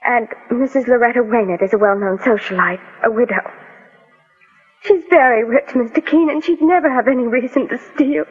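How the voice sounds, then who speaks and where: An actress playing an anxious, bereaved young American daughter in a 1940s radio drama, polite and earnestly pleading. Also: female voice, a little high, normal speed, husky. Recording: tinny, reverberant old radio recording with music.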